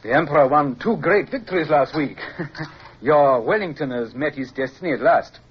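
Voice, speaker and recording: A man's voice: on the high side, animated, normal speed, husky. An actor playing a smug enemy officer who gloats over his captive in a radio drama. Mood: gloating. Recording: dull old radio recording.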